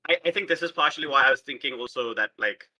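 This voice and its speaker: A man's voice: medium pitch, quick pace, sharp tone. A man chatting casually with a group as a tabletop roleplay player, building a game world together.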